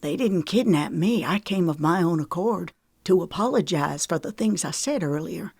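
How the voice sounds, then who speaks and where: A woman reading a gruff, remorseful older man. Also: on the low side, normal speed, darkish tone, no echo, studio quality. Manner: gruff, grumbling. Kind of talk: audiobook character voice.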